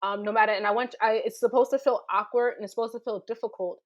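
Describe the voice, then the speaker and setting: Slightly high-voiced woman, emphatic teacher. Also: fairly even intonation, fast talker. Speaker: panelist, writing teacher. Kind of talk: informal panel discussion talk.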